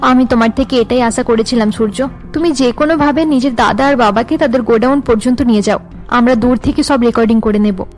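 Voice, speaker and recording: A woman's voice: fairly high pitch, fairly quick, darkish tone, soft background music, lightly acted. A woman playing a resolute young policewoman and wife in a cartoon drama, explaining earnestly.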